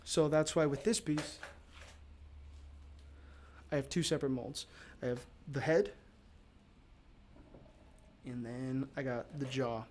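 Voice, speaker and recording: A man with a raspy, low voice from allergies, talking casually and rambling to viewers as he demonstrates mold casting. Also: steady tone, takes it slowly, long pauses, a little mellow. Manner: rambling, with many fillers and restarts. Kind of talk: casual livestream talk to viewers.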